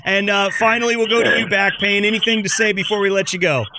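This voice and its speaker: A man's voice: high voice, fairly even intonation, fast talker, very noisy. An American man hosting a casual podcast interview in a chatty, informal and affirming way. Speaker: podcast host.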